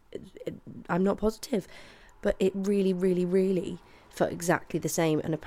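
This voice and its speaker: A woman in her twenties with a hoarse voice from a cold, chatting casually and apologetically as she hosts her podcast from her flat. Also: fairly low pitch. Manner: chatty and apologetic, with frequent laughs. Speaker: podcast host. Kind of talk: casual solo chat. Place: flat at home, late at night. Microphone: headphones and podcast mic.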